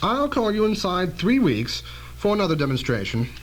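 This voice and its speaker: Old-time radio drama scientist, male actor: older, protective, formal, cautious, high-pitched with very lively intonation. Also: a little hiss or hum. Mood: courteous. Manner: measured, admonishing, formal.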